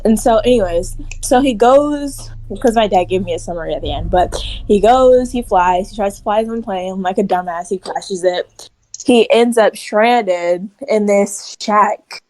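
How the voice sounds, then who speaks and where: A young woman casually retelling a movie to friends in a rambling, animated way, acting out bits of dialogue and swearing. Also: medium pitch, unhurried, poor audio. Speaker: storyteller.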